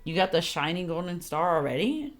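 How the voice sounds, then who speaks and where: A woman's voice: lowish voice, sing-song, a little fast, soft background music. A woman streamer giving casual, slangy, sweary reactions to a game story.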